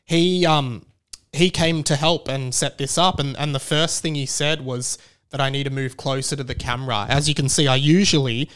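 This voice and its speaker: A man's voice: medium pitch, steady tone, normal speed, a bit bright, clean tone. Male podcast host, mid-range voice, informal solo chat, loose and rambling delivery.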